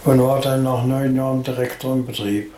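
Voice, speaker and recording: An elderly man from Saxony haltingly telling his life story in an interview. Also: mid-range voice, fairly even intonation, mellow. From Grimma, Saxony, Germany. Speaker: interviewee, former factory director. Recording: echoey room, faint noise.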